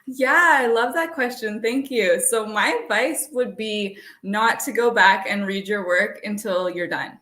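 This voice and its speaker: A woman's voice: mid-range voice, unhurried, few pauses, bright, forceful tone. Chatty woman in a very echoey room. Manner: chatty, informal. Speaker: guest playwright. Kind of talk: casual conversational interview answers.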